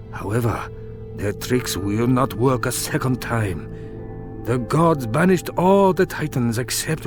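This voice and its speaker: Wise, stately emperor, measured and grave. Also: male voice, medium pitch. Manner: measured, formal, philosophical gravity. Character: wise, stately male emperor of a mystical palace. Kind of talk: dramatic video game voice acting.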